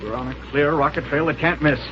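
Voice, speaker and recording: An actor playing a confident male space hero, brisk and authoritative, in 1930s radio serial style. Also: fairly high pitch, steady tone, close to an everyday voice. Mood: reassuring, resolute. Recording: dull, noisy 1930s radio recording.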